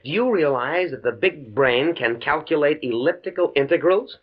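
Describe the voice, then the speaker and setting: A man's voice: on the high side, expressive, normal speed. A man playing an earnest, absent-minded professor in his thirties, in 1950s radio drama style. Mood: proud, enthusiastic. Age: 30s. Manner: hesitant, stammering, preoccupied. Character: earnest absent-minded young male professor, flustered and enthusiastic. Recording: dull, muffled old radio recording.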